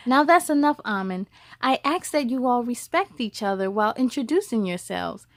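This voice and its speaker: Woman as a high-voiced, kind, orderly schoolteacher. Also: lively intonation, moderate pace, no room sound, studio quality. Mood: gently firm. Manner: clear, polite classroom address. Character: kind, orderly female first-grade teacher, gently firm.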